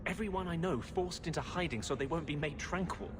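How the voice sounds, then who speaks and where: An actor playing a troubled, embittered rebel healer mage in a video game, talking with urgent grievance. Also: male voice, a little high, fairly quick, noisy background, lightly acted. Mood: weary, agitated. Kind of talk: dramatic video game dialogue.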